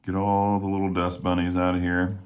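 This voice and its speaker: A man casually talking while taking apart and cleaning a knife at home, a little hoarse today, relaxed and rambling with plenty of fillers. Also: sits low, a little fast. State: slightly hoarse. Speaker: knife reviewer. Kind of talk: casual talk while working. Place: home room with windows.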